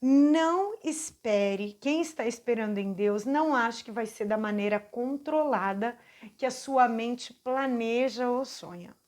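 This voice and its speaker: A Brazilian woman in her forties giving an earnest, heartfelt personal faith testimony, speaking haltingly with restarts. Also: on the high side, a little mellow. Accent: Brazilian Portuguese. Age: 40s. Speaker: channel host giving a testimony.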